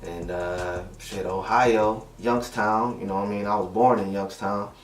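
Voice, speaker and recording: A man's voice: fairly low pitch, fairly even intonation, a little slow. A young man talking casually in an interview, slangy and laid-back, often asking "you know what I mean.". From Detroit, USA. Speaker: interviewed rapper. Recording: roomy, distant mic, music behind.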